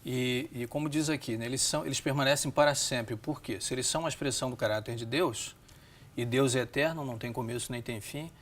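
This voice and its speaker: A man with a Brazilian Portuguese accent hosting a Bible discussion in a measured, didactic way, often seeking agreement. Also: medium pitch. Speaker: host of a religious programme.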